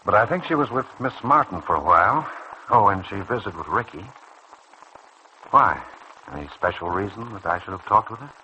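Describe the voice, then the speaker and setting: A man playing a kindly, principled senior doctor in a mid-century radio soap opera, speaking in a measured, earnest way. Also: fairly low pitch, lively intonation, sharp tone, muffled recording. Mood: friendly, attentive. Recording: faintly noisy old radio recording.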